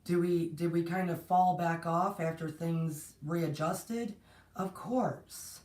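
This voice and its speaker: A woman's voice: fairly low pitch, steady tone, takes it slowly. A woman hosting a spiritual livestream, talking casually with a strained, partly lost voice, greeting viewers between rambling thoughts. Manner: rambling, warm greetings to viewers, many restarts. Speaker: host of a spiritual platform. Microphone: distant mic, no proper setup. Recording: echoey room, voice from far off.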